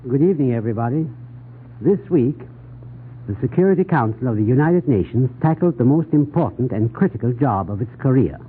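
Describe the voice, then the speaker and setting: A man's voice: mid-range voice, lively intonation, brisk, slight background noise. A man giving a scripted 1940s radio news analysis in a formal, grave, oratorical manner. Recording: tinny, band-limited 1940s radio recording.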